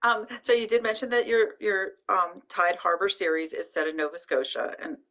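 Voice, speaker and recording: A woman's voice: medium pitch, fairly even intonation, normal speed, bright, forceful tone. A middle-aged woman hosting an author interview over a video call, hesitant with many ums and laughs. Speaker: library assistant director and host. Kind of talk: casual interview. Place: library room with bookshelves. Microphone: video call webcam. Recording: dull lo-fi video call audio.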